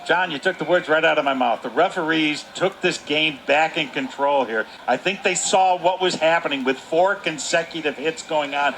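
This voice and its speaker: A man giving live hockey analysis as color commentator, measured and agreeable, repeating points for emphasis. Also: on the high side, a bit bright, slight background noise, rough recording. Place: commentary position at an indoor ice rink.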